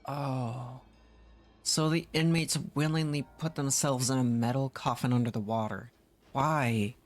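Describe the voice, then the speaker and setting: A man's voice: mid-range voice, fairly even intonation, measured pace. A man streaming a story game, reacting casually and thinking aloud in a puzzled way. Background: game music.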